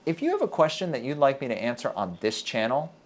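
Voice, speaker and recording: A man from Texas, a native English speaker and university lecturer, giving a measured, earnest scripted talk to camera. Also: medium pitch, huge pitch swings. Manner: measured, earnest, deliberate delivery.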